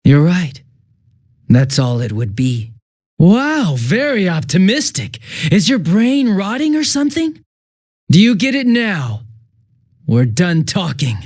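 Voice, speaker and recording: A smug, swooping villain. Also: male voice, high-pitched, big pitch swings, takes it slowly, darkish tone, dry recording, clean studio sound, full character voice. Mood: sneering, then bored, contemptuous. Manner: sarcastic, taunting, theatrical swings between bored drawl and giddy mockery. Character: smug, mocking young male detective turned murderous villain. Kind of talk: video game voice acting.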